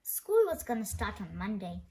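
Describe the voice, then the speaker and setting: A woman's voice: medium pitch, very animated, moderate pace, a little mellow. A woman reading a children's story aloud expressively, now and then stumbling over a word. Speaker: storybook reader.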